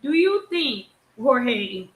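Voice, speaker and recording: Slow-talking woman host, echoey room. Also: on the high side, sing-song. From Haitian, born in the United States. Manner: probing, pointed questions to her guest. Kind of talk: casual live interview.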